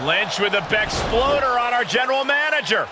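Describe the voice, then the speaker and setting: A man's voice: very high-pitched, lively intonation, normal speed. A man delivering dramatic play-by-play wrestling commentary with arena noise behind him.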